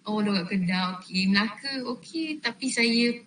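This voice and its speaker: A woman's voice: medium pitch, lively intonation, normal speed, a bit bright, slight background noise. Young Malaysian woman presenting in class. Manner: chatty, addresses listeners directly with fillers. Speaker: trainee presenter. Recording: echoey room, from far off.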